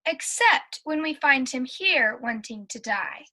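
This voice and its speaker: A woman's voice: sits high, lively intonation, a little slow, sharp tone, full character voice. A woman playing a chatty angel who narrates the story in a radio drama. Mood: storytelling.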